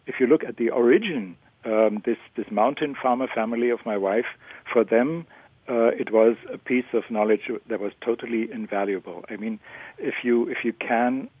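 A man's voice: mid-range voice, husky. German-speaking man on a tinny phone line. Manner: halting, with frequent restarts. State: jet-lagged. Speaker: guest author on a call-in show.

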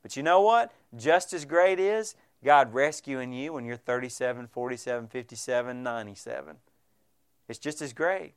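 A man's voice: mid-range voice, normal speed, clean tone. A male pastor preaching a sermon, earnest and direct, addressing the congregation with frequent rhetorical questions.

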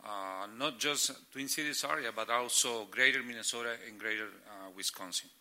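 A middle-aged man whose first language is Spanish, speaking halting English as a guest and sharing stories of church planting. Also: medium pitch, bright, forceful tone. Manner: halting, searching for English words.

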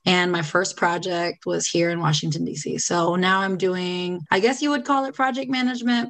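A woman's voice: lowish voice, a bit bright. A woman guest on a podcast, talking candidly and thoughtfully about her life, with many fillers and restarts. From Italy. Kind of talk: reflective personal interview.

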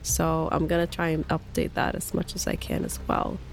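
Gravelly-voiced woman speaking non-native English. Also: deep voice, monotone, soft background music. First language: not English. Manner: halting, hesitant phrasing, many "like" and restarts. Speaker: podcast co-host. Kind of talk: casual podcast chat. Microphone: podcast microphone.

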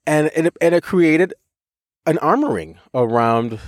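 A man co-hosting a podcast, telling a story in a lively, casual way, with frequent laughs, restarts and casual swearing. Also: on the high side, a little slow, no room sound, clean studio sound. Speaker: podcast co-host, voice teacher.

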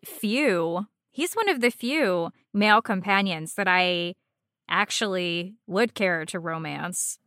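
Woman, bright voice, animated swoops in pitch, informal gaming chat, playful, teasing, dry recording. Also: slow, dry recording. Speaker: podcast co-host. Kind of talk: casual chat about a video game.

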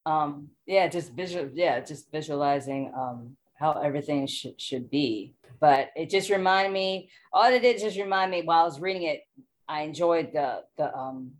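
A woman's voice: sits low, normal speed. An American woman chatting casually as an interview guest, enthusiastic and rambling, often repeating her phrases. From Washington DC area, United States.

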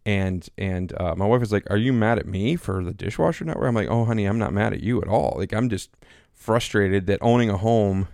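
A pastor in his thirties talking casually as a podcast co-host, with a loud voice that carries, rambling with many fillers and restarts. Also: fairly low pitch, a little fast, vocal fry, hardly any pauses, dry recording, clean studio sound. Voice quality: loud, carrying voice. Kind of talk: casual conversation. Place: small home studio.

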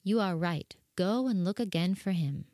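A man voicing a kindly fairy godmother in a storybook reading, speaking gently and reassuringly. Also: high-pitched, normal speed, dry recording, studio quality, pitched above the performer's own voice, natural voice.

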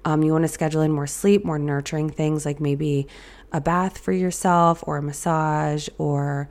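A woman hosting her own podcast in a casual, chatty way, her voice raspy and hoarse after an illness, with many ums and restarts. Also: low voice, flat delivery, moderate pace, darkish tone. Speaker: podcast host. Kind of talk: casual solo podcast talk.

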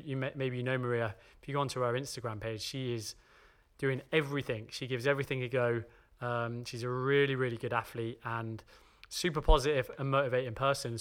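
A man's voice: mid-range voice, a bit bright. Man hosting a casual podcast interview. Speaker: podcast host.

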